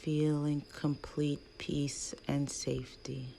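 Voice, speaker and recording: A woman leading a guided meditation in a hushed, soothing, breathy voice with long pauses between phrases. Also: sits low, little pitch movement. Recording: faint background noise.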